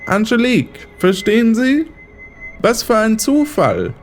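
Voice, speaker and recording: A man's voice: high-pitched, huge pitch swings, a little slow, faint music behind, exaggerated voice. An actor playing a smug, menacing male pursuer in a radio play, condescending and theatrical.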